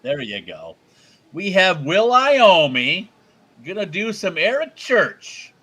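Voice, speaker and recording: A man's voice: sits high, wildly swooping pitch, slow pace, pushed and bright, slightly rough. A man co-hosting a live music video show in casual chat, jokey and self-deprecating, and he often stammers.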